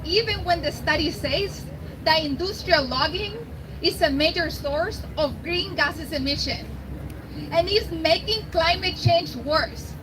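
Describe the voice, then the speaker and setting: Woman, Mexican Spanish accent, high voice, slow, earnest rally speech, noisy outdoor recording. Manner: earnest, slow and deliberate, grateful. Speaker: rally speaker.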